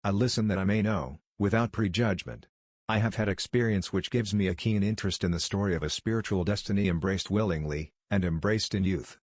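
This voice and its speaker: A man voicing an earnest, courteous young gentleman who speaks in a measured way. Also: on the low side, no echo, studio quality, natural voice.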